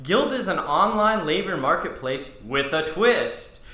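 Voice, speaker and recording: A young American man co-hosts a podcast. His voice is on the high side and sharply bright, with noticeable room echo. He moves between loose chat and reading sponsor copy. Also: big pitch swings, measured pace. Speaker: podcast co-host. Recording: roomy, echoey sound.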